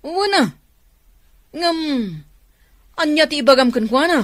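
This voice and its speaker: A woman's voice: high-pitched, animated, measured pace, dry recording. A woman acting a helpful, candid young go-between with an Ilocano Filipino accent in a radio drama. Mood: frank.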